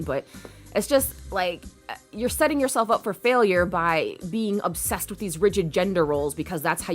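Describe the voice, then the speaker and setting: A woman co-hosting a podcast, chatting casually and thinking aloud with lots of fillers. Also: on the low side. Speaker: podcast co-host. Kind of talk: casual chat.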